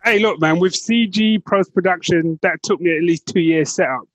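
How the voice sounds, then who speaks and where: A man's voice: sits high, moderate pace, no room sound. A British man co-hosting a podcast in casual, playful, slangy and teasing banter. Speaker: podcast co-host.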